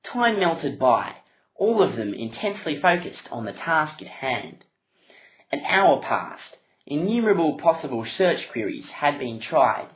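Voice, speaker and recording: A woman narrating a comic puppet story in a deadpan storytelling style. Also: low-pitched, measured pace, low-quality recording.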